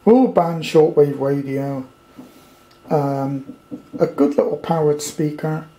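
A man's voice: a little high, fairly even intonation, slow pace, dark tone, low-quality recording. A British man giving a casual, off-the-cuff hobbyist review in a rambling, blunt, colloquial way. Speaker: amateur radio hobbyist reviewing a kit. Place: indoors at a tabletop.

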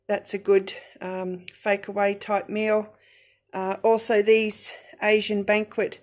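A middle-aged Australian woman talks through her groceries at an unhurried, slow pace. Her voice sits a little high, and she pauses often with ums. She sounds calm and steady. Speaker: budget grocery vlogger. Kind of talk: casual voice-over narration.

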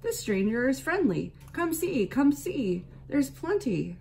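A woman's voice: high-pitched, big pitch swings, slow, creaky, dark tone, voice pushed up, exaggerated voice. A woman voicing a cheerful little chickadee who talks in a sing-song way with chirping syllables. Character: cheerful tiny chickadee bird, bold and bubbly.

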